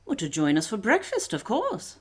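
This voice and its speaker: A woman's voice: medium pitch, huge pitch swings, moderate pace, husky. A woman playing a commanding, shrewd aristocratic lady detective in a period radio mystery, with a breezy, imperious, theatrical manner. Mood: brisk, self-assured.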